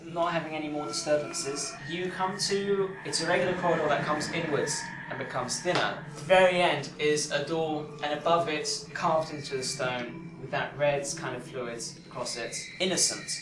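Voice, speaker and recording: A man's voice: on the high side, normal speed, hardly any pauses. Guy in his 20s being dungeon master, lightish voice, roomy sound w/ noise. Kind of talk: improvised tabletop game narration. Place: domestic dining room, mic on a boom stand. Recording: roomy, clearly noisy home recording.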